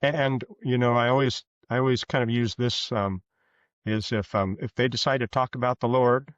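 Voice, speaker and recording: A man giving a reflective interview as a podcast guest, speaking earnestly and haltingly, often rephrasing as he goes. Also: mid-range voice, fairly even intonation. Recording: muffled, roomy, echoey sound.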